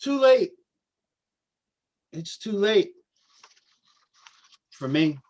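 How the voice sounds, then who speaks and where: Male actor as paranoid homeless young prophet: high-pitched, slow, halting delivery, dramatic stage-play intensity. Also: very animated, a little mellow, heavily acted. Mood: paranoid, despairing. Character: troubled homeless young man, paranoid doomsday poet-prophet. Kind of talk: staged play reading, reciting verse.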